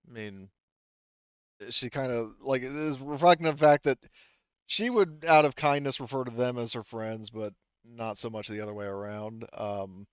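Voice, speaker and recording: A man's voice: mid-range voice, normal speed, clear, resonant tone, no echo. A man loosely narrating a tabletop roleplaying game in a hesitant, conversational way, on slightly muffled audio.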